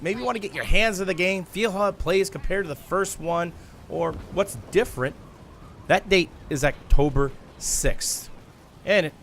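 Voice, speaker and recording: A man's voice: medium pitch, fairly bright tone. A man presenting gameplay commentary to viewers like a gaming YouTuber, emphatic and stretching the words he stresses. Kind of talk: scripted-sounding gameplay commentary, addressing viewers. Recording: clear background noise.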